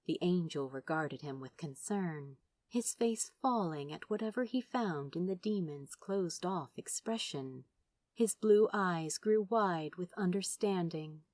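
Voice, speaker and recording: A woman's voice: on the low side, lively intonation, a little slow, a little mellow, no room sound. A woman reading fiction aloud as a narrator, in a dramatic, emotive storytelling style. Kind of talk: expressive scripted audiobook narration.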